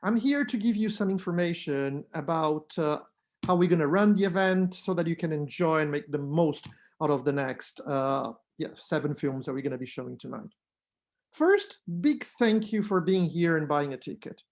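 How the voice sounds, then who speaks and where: A man's voice: fairly high pitch, animated, moderate pace. A man whose native language is Brazilian Portuguese, introducing an online arts event as its producer and host, talking in an earnest, welcoming way.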